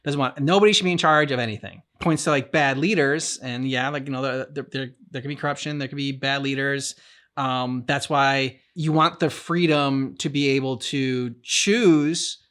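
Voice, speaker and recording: Halting, casual male discussion host. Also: medium pitch, fairly even intonation, fairly bright tone. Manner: halting, rambling, searching for words. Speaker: reaction show host. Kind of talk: casual discussion of a game's story.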